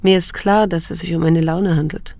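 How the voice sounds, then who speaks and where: An actress playing a troubled, restrained adult daughter in a radio drama, speaking in a controlled, grave and insistent way. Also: female voice, fairly low pitch, steady tone, moderate pace, vocal fry, darkish tone. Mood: uneasy, introspective. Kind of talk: radio drama acting with introspective narration.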